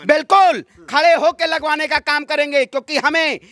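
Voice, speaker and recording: Man, very high voice, bright and forceful, declamatory political interviewee, repetitive emphasis. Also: fairly even intonation, normal speed, no echo. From Ayodhya, Uttar Pradesh, India. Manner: combative, declamatory, repeats phrases for emphasis. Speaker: political activist being interviewed. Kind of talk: impassioned street interview, political oratory.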